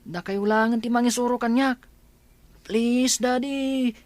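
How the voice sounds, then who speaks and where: Woman voicing a high-pitched, wheedling little boy. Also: fairly even intonation, unhurried, a little mellow, no echo, lightly acted. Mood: whiny, wheedling. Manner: childlike, wheedling. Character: young schoolboy son, whiny and affectionate. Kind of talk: Ilocano radio drama acting.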